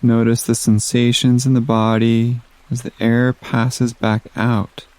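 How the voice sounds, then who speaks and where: A man leading a guided meditation in a gentle, soothing manner, giving soft instructions with long pauses. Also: medium pitch, completely flat delivery, no echo, poor audio.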